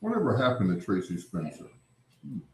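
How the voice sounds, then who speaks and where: A man chatting casually with live viewers as a livestream host, rambling and repeating phrases, with drawn-out greetings. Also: on the low side, huge pitch swings, darkish tone. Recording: roomy, echoing sound.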